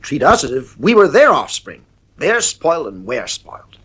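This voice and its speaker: A man's voice: a little high, wildly swooping pitch, moderate pace, a bit bright, breathy edge, heavily acted. An actor in a radio play playing a strict, worn-down father, stern, irritable and emphatic. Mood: worried, weary.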